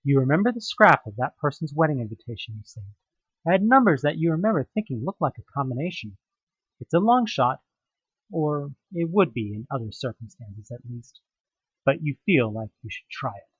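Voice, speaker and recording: A man giving a theatrical, expressive second-person narration of a horror story. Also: medium pitch, a little fast, husky, no room sound, dull audio. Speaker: narrator of an audio drama.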